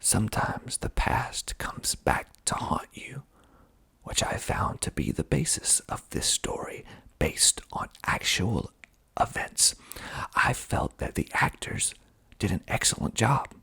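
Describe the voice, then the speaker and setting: Slow, breathy whispering man, ASMR style. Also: fairly low pitch, big pitch swings. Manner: slow, deliberate, hushed delivery. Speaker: filmmaker reading reviews of his movie. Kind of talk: whispered ASMR reading and commentary.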